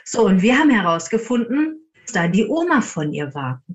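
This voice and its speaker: Through a slightly dull, lo-fi recording, a woman tells stories in a conversational interview style. Her voice sits at a medium pitch, and she acts out quoted speech as she recounts. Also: sing-song, normal speed. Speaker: interviewed amateur ghost hunter. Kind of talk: conversational anecdote telling.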